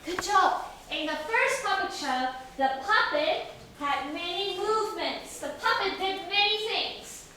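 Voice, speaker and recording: Very high-pitched woman teaching in echoey room. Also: lively intonation, a little slow, bright, forceful tone. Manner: simple, repetitive phrasing with questions to pupils. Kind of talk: classroom instruction to children. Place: classroom. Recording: very echoey room, distant mic, faint noise.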